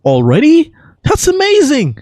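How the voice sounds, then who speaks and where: A man voicing a friendly, excitable young male inventor in a game, eager and expressive. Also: very high-pitched, unhurried, no echo, voice pushed up, heavily acted. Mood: grateful, excited. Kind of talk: game character voice acting.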